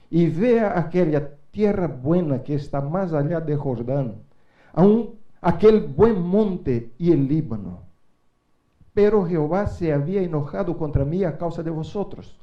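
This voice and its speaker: A middle-aged man preaching a sermon in a church, speaking Spanish as a second language and swinging from quiet asides to loud rising calls to the congregation. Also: on the high side, highs cut off. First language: not Spanish. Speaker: guest preacher.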